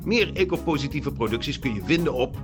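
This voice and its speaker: A man's voice: mid-range voice, animated, a little fast. A man narrating scripted titles and credits for an audio production. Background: guitar music.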